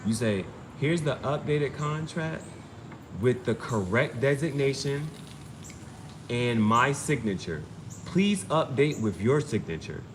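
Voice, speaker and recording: Man, mid-pitched voice, emphatic and repetitive, informal tarot-reader advice, clearly noisy recording. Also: lively intonation, takes it slowly. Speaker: amateur tarot reader and streamer. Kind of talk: informal spiritual reading, urging advice. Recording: clearly noisy background ambience.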